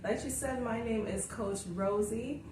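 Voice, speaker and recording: A woman's voice: fairly high pitch, steady tone, measured pace, a little mellow. A woman, a guest telling her story in a casual interview and laughing often. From Jamaica. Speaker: guest, author coach. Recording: roomy echo, distant mic, faint hiss.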